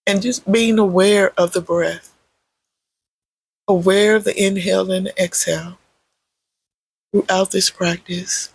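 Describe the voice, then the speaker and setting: A meditation guide with a husky, creaky voice, speaking gently in short, measured phrases with pauses between them. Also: medium pitch, fairly even intonation, dry recording. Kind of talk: guided meditation, unscripted spoken guidance.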